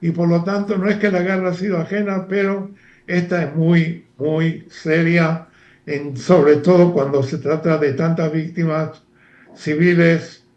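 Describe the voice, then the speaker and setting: An older man from Argentina, a former professor speaking as a guest analyst in a halting, lecture-like way with many fillers and restarts. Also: a little high, flat delivery, darkish tone, echoey room, highs cut off. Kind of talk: lecture-like interview talk.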